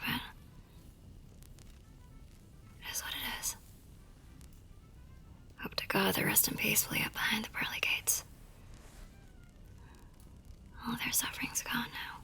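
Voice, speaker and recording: A woman playing a tender, wistful young army nurse in an ASMR romance roleplay, with a soft, breathy voice that often drops toward a whisper and an emotive delivery. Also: mid-range voice. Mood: wistful, mournful. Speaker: voice actor in a romance audio roleplay. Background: music.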